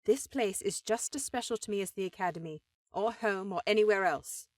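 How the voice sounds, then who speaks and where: A woman's voice: mid-range voice, fairly quick, no echo, close to an everyday voice. A woman playing a powerful elven sorceress in a fantasy audio drama, an encouraging sister who talks in an earnest, affectionate way.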